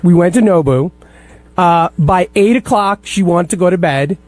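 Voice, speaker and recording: A man's voice: sits high, moderate pace, a little mellow, no room sound. A man in his late thirties chatting casually as a producer on a radio panel, blunt and emphatic in his opinions. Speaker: radio show staff producer, guest.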